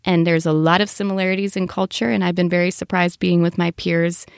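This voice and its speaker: Interviewer: young woman, conversational, fry-edged voice, recorded close and dry. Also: fairly low pitch, flat delivery, brisk, no room sound. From half American, half Danish background. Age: young adult.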